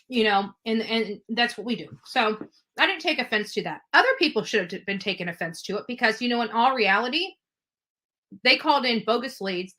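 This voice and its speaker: Brief: woman with a bright, edgy voice, a bit high, loops back over her points.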